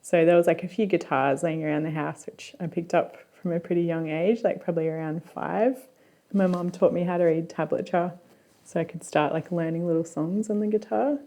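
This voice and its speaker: Woman, Australian accent, young adult, playful chatty interview, dark mellow tone. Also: on the low side, steady tone, a little fast. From Queensland, Australia. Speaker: multi-instrumentalist band member. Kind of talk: documentary interview.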